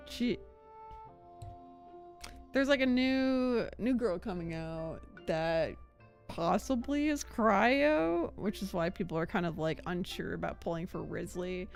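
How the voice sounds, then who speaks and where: A woman's voice: lowish voice, creaky. A woman live streamer chatting casually over a game, giggly and rambling. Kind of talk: casual gaming stream chat. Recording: background game music.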